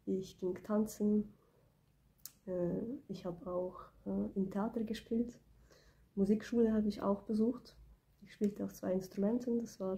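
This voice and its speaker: A young woman from Ukraine speaks with a mellow, slightly gravelly voice, kept low because she has a cold. She talks softly and hesitantly, pausing with fillers, straight into a phone camera in a small indoor room. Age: 20s. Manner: soft-spoken, hesitant, many fillers. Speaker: beauty business owner. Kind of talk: casual personal vlog. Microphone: phone camera close up.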